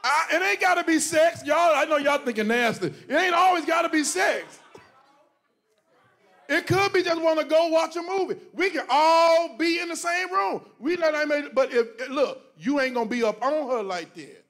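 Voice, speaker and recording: High-voiced, animated pastor. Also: male voice, normal speed. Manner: animated, joking, bursts into loud emphatic exclamations. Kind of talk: preachy conversational talk to a congregation. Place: church stage before a congregation, indoors. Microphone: handheld mic.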